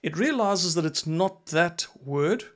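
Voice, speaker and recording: A South African man in his forties presents a tech tutorial. His voice sits a little higher than most men's. He explains things step by step, close to a desk mic in a small home studio. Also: expressive, takes it slowly, fairly bright tone. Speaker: instructor, presenter. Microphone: close desk microphone.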